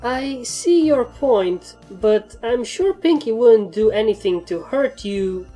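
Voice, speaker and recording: A woman's voice: on the high side, expressive, slow pace, dark tone, faint music behind, voice pushed up. A woman voicing a sensible, reassuring young female unicorn pony in a story reading.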